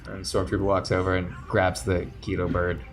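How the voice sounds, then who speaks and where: Low-voiced laid-back male game master. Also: flat delivery, a little fast, faint music behind. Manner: laid-back, rambling narration with fillers. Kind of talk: narrating a tabletop game.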